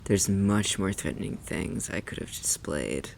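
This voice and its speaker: Slow, gravelly, possessive demon acted out by an ASMR performer. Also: low-pitched, slight background noise. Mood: teasing, menacing. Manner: condescending, teasing, imperious, theatrically formal. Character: possessive, haughty demon toying with a human captive. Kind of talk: ASMR roleplay.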